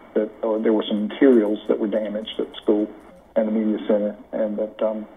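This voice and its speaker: An American man giving a formal committee report in a halting, deliberate way over a conference call. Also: fairly low pitch, creaky, slight background noise. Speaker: committee member presenting recommendations. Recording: muffled conference call audio.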